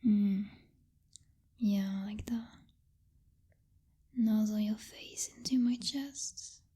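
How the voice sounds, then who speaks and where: A woman acts out a possessive vampire girlfriend in an intimate ASMR roleplay. Her voice is a dark, velvety whisper, very breathy and close to the mic. She speaks slowly, with lingering pauses and hums, bossy and teasing yet secretly tender. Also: medium pitch, fairly even intonation. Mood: grudgingly affectionate. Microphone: close-up microphone.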